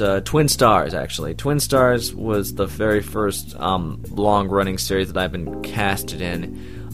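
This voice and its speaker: A man giving casual, rambling, unscripted interview answers as an amateur voice actor, informal and keen on the work. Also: deep voice. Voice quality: loud voice. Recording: music playing behind the voice.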